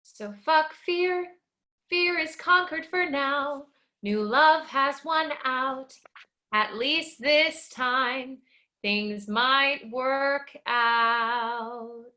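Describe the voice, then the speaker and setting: Solemn chorus figure for a staged reading, played by a woman; high-pitched, extremely unhurried, poetic delivery. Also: bright, forceful tone, heavily acted.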